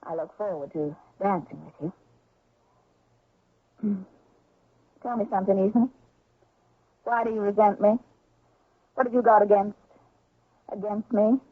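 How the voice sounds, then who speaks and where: Commanding young frontier wife, husky voice. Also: female voice, medium pitch, measured pace, long pauses. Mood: coaxing. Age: young adult. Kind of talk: radio drama acting. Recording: narrow-band, echoey old radio recording.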